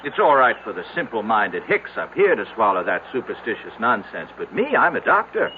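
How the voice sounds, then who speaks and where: Folksy country doctor, animated old-radio voice. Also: male voice, fairly low pitch, narrow, tinny audio. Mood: knowing, emphatic. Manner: teasing, drawn-out, homespun storytelling. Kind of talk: old-time radio drama acting. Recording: noisy, band-limited vintage radio drama recording.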